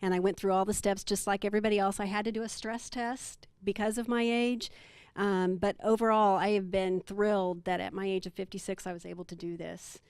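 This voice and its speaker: A woman's voice: mid-range voice, little pitch movement, brisk, a little mellow, clean tone, no room sound. A woman in her fifties, a kidney donor, speaking upbeat and chatty at a press conference. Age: 50s.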